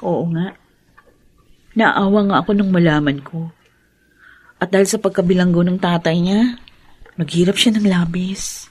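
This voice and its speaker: A woman's voice: lowish voice, measured pace, pauses often, darkish tone, breathy edge. A woman with a Filipino accent playing a caring young wife who carries old grief, in a radio drama. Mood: sympathetic. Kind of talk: Philippine radio drama acting.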